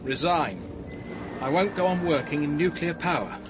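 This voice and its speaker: An actor playing an earnest, conscientious young scientist, direct and solemn, in a radio drama. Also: male voice, fairly high pitch, animated, normal speed, background noise, lightly acted. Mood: grave, resolute.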